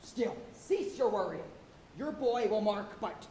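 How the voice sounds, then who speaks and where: A man's voice: high-pitched, lively intonation, warm tone, slight background noise. A man playing a jovial, fearless, teasing whaling second mate, in theatrical, old-fashioned sailor speech. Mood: cheery, reassuring. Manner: jesting, rhetorical, unhurried. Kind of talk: theatrical stage acting, archaic sailor speech. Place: college auditorium stage, live performance. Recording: echoey theatre stage.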